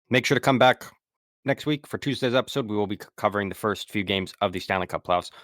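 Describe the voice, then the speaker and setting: A man co-hosting a casual sports podcast, talking haltingly with lots of ums while fighting a sore throat. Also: low-pitched, vocal fry, fairly bright tone, no room sound, clean studio sound. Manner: halting, many ums and restarts. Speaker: podcast co-host.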